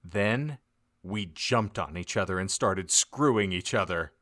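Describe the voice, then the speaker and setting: A man with a fairly low, bright voice narrates a comic story. He takes his time and reads with mock-serious, theatrical swings in intonation. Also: animated, unhurried. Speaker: podcast host reading an audiobook. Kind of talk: comic dramatic narration of fan fiction.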